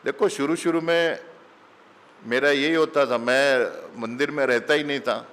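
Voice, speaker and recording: A man's voice: medium pitch, fairly even intonation, fairly bright tone. A middle-aged man giving a conversational sermon full of anecdotes, slipping English phrases into his talk. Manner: slow, mixes English phrases into Hindi. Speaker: spiritual teacher giving satsang. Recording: long hall reverb.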